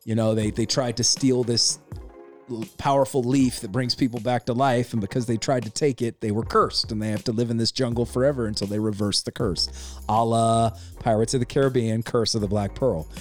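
A man's voice: medium pitch, a little fast, soft background music. Opinionated man ranting about a movie. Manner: opinionated, rambling, animated retelling. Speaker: podcast co-host. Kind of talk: casual movie review chat.

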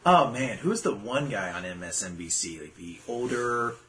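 A man's voice: lowish voice, animated, unhurried, a bit bright, slight background noise. A man co-hosting a podcast, chatting casually and rambling through jokes and mock voices. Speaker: podcast co-host. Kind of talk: casual chat.

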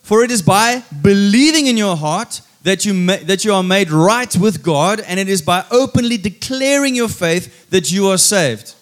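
A man delivers a sermon in a noticeably high voice. He speaks emphatically, repeating key phrases for weight, and prods his listeners with teasing rhetorical questions. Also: lively intonation, moderate pace, few pauses. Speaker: preacher.

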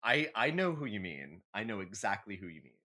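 A man's voice: lowish voice, sing-song, brisk, pushed and bright, husky. A man co-hosting a casual comedy podcast, chatting in a catty, theatrical and emphatic way with plenty of swearing. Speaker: podcast co-host.

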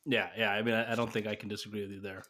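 A young man hosting a casual sports podcast, easygoing and quick to laugh, stumbling over his words now and then. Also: fairly low pitch, flat delivery, sharp tone. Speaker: podcast host.

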